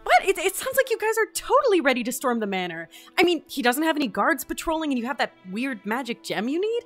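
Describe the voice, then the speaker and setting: A woman's voice: high voice, big pitch swings, quick pace, soft background music. A woman playing a wry, eager young outsider in a fantasy audio drama, sarcastic and casually modern in phrasing.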